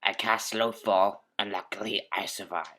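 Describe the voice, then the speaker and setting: A man with a breathy, husky voice reciting a comic tall-tale poem. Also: fairly low pitch, fairly even intonation, normal speed, bright, forceful tone.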